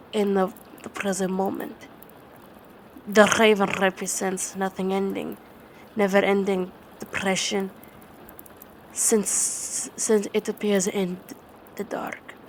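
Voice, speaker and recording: A woman doing ASMR sleep-aid storytelling and poetry reading, halting and repeating words as she goes. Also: mid-range voice, slight background noise, low-quality recording. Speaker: ASMR roleplay performer.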